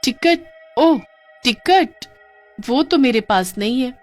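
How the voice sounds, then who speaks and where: A woman voicing a headstrong, excitable young girl in a cartoon, exclaiming in a childlike way. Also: sits high, slow pace, pitched above the performer's own voice. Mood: flustered. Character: headstrong movie-mad young girl, boastful yet easily frightened. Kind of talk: Hindi cartoon voice acting. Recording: music under the voice.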